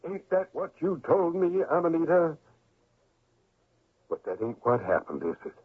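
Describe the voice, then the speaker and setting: A man playing a grieving, stern old father in a radio drama, with a rural American accent and husky, folksy, deliberate speech. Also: a little high, big pitch swings. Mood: accusing. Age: older. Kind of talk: 1970s radio drama acting. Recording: muffled, phone-like old radio recording.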